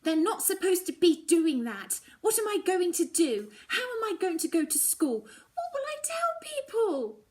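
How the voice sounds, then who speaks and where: A woman's voice: very high-pitched, very animated, unhurried, hardly any pauses, pitched above the performer's own voice, heavily acted. A woman voicing a distressed, defiant young girl with emotional, wailing outbursts in a children's story reading. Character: distressed young girl frightened of her changing self, defiant. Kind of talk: dramatic children's novel reading.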